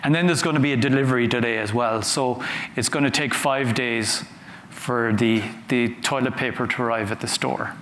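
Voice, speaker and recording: Guy presenting at a conference, chatty n halting, echoey room, bit of noise. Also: medium pitch, steady tone, a bit bright. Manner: conversational, halting, repeats words. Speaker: conference speaker. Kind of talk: conference talk with audience questions. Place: large conference hall on a stage. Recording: roomy hall sound with faint noise.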